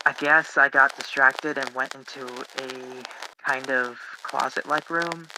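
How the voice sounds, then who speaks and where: A man playing a polite, unsure young radio guest who haltingly recounts his memories in a staged interview. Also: medium pitch. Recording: crackly, noisy radio-style recording.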